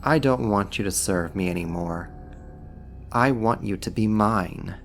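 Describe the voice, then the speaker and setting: Man acting a slow, low, seductive demon. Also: slight background noise. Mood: possessive, coaxing. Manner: teasing, smug, deliberate delivery. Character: seductive, amused, possessive male archdemon, condescending to humans. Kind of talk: ASMR roleplay acting, intimate second-person monologue.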